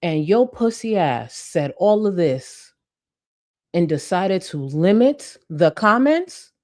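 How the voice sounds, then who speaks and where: A woman's voice: fairly low pitch, sing-song, takes it slowly, a little mellow. A woman hosting a live commentary stream, reading chat and mocking her target with heavy sarcasm and swearing.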